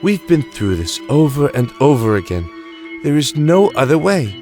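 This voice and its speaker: A man's voice: a little high, very animated, normal speed, warm tone, faint music behind. A man playing a weary, grandiose time-traveling alien emperor in an audio drama, self-justifying and reflective. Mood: weary, resigned.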